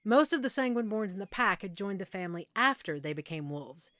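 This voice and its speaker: A woman playing a middle-aged, self-assured werewolf pack alpha in an intimate audio roleplay, explaining things conversationally with measured pauses. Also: mid-range voice, lively intonation, a bit bright, dry recording, studio quality, natural voice. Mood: candid, proud.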